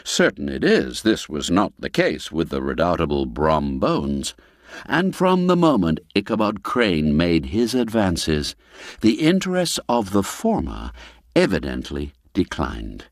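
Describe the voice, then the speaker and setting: Expressive male storyteller with very lively pitch. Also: a little slow, dry recording, studio quality. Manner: theatrical, expressive, literary delivery. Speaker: audiobook narrator. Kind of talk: dramatic scripted storytelling narration of a classic tale.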